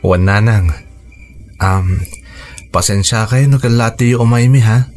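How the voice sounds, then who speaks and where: A man playing a respectful young son in love, earnest and quietly resolute, in a radio drama. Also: on the low side, a little slow, faint music behind, lightly acted. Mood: apologetic.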